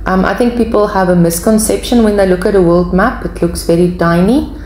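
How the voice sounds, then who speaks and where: A woman's voice: medium pitch, brisk, a little mellow. A woman in her forties with a South African accent, chatting casually to viewers as she answers their questions. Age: 40s. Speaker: video channel host. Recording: echoey room with faint background noise.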